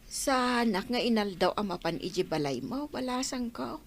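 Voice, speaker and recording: A woman's voice: fairly high pitch, lively intonation, normal speed. A woman playing a fond, motherly older aunt from the countryside in a radio drama. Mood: fond, explaining. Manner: affectionate, motherly. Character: fond older aunt living on her farm.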